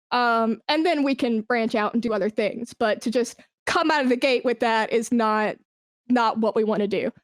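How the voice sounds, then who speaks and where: A woman's voice: a little high, no echo, clean studio sound. A woman speaking as an interview guest in casual, informal conversation, telling things with slangy asides. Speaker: podcast guest, esports brand manager.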